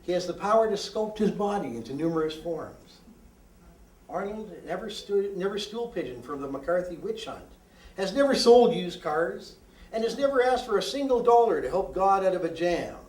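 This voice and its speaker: A man reading his own poetry aloud in a deliberate, deadpan, declamatory way with emphatic phrasing. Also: high voice. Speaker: poet reading own work. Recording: roomy echo, distant microphone.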